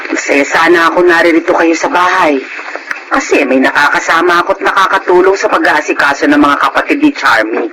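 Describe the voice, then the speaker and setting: A woman playing a caring, gentle and plaintive middle-aged Filipino mother-in-law in a radio drama. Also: deep voice, fairly quick, background noise, lightly acted. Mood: wistful, pleading. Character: caring, clinging mother-in-law reluctant to let go.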